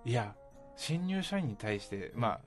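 A man's voice: mid-range voice, very animated, unhurried. A man of about thirty speaking casually but politely as a participant in a radio chat. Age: late 20s to early 30s. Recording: music bed behind the talk. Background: background music.

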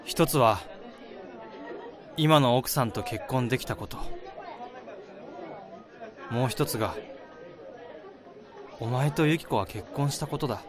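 An actor in a Japanese radio drama playing a kind-hearted, forgiving young best friend, speaking in an earnest, expressive way. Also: male voice, medium pitch, slow, long pauses, crowd noise, lightly acted. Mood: gentle, reflective.

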